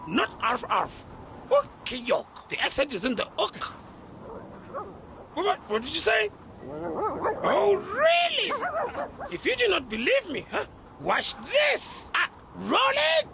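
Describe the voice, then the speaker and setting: High gravelly furry monster, eager and chatty, swooping wildly, slow and formal, noisy background. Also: male voice, exaggerated voice. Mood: excited. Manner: excitable, formal phrasing, exclaims loudly.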